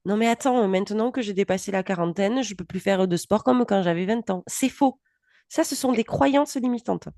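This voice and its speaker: A woman co-hosting a casual podcast chat as a coach, animated and laughing often, speaking in quick bursts. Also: mid-range voice, no echo, studio quality.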